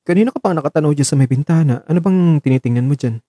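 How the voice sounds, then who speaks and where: A man playing a caring, gently teasing young man in love in a romantic radio drama, speaking in an expressive, coaxing way. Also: on the high side, rapid-fire, no echo, pitched above the performer's own voice, lightly acted. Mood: concerned, caring.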